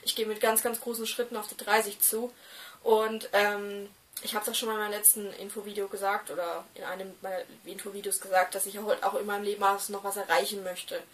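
A woman's voice: medium pitch, flat delivery, brisk, a bit bright, distant mic, a little hiss or hum. A woman in her mid twenties, vlogging casually to camera from a small room, hesitant with many fillers and restarts. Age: 20s. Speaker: doll collector vlogger.

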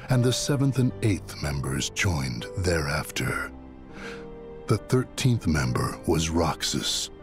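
Grave game villain boss, low gravelly voice, formal, menacing. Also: male voice, heavily acted. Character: solemn, menacing leader of a dark order.

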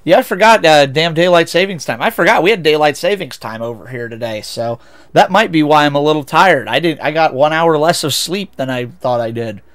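A man's voice: on the high side, expressive, brisk, a bit bright. Chatty Midwestern man streaming a game. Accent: Midwestern American. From United States. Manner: chatty, rambling, self-correcting. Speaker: VTuber streamer. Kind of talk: casual game-stream chat with viewers.

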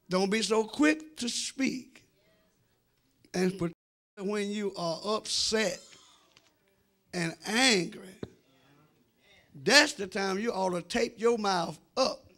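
Middle-aged American male preacher, gravel in the voice, bright forceful delivery, direct address. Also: high voice. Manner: emphatic, drawn-out phrasing, addresses congregation directly. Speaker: senior pastor preaching. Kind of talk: sermon. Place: church sanctuary before a congregation. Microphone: handheld microphone.

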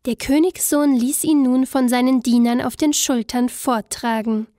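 High-voiced, unhurried woman storyteller. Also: fairly even intonation, dry recording, studio quality. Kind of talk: scripted fairy tale narration. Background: soft instrumental music.

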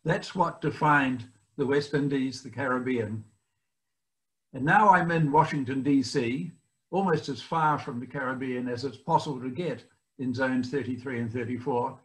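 An older man with a New Zealand accent, giving a guest speech over a video call from his home library, often pausing with fillers. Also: medium pitch, low-quality recording. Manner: halting, many fillers and restarts. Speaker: guest speaker.

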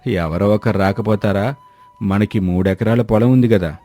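A man playing a lazy, carefree elder brother of about thirty, languid and nonchalant, in an animated moral story. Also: lowish voice, unhurried, faint music behind, natural voice.

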